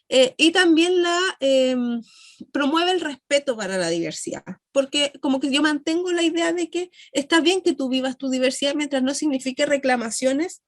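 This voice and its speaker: A woman with a Chilean accent lectures to a class in a high, bright register. She explains step by step, asks questions and answers them herself, and often pauses on fillers or corrects herself mid-phrase. The sound is dry and close, with little room reflection. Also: dry recording. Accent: Chilean Spanish. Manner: many fillers and self-corrections. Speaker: university lecturer.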